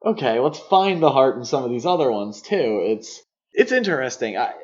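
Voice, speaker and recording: A man's voice: mid-range voice, big pitch swings, moderate pace. A man co-hosting a podcast, talking casually and giving his opinions on films in a conversational way. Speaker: podcast co-host. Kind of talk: casual film discussion. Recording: slightly dull lo-fi podcast audio.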